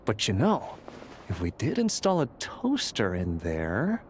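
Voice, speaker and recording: A man's voice: lowish voice, wildly swooping pitch, measured pace, slight background noise. A man voicing an earnest, dutiful young squad leader in a video game, explaining things in a friendly way. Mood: casual explaining. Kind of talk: English video game character acting. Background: background music.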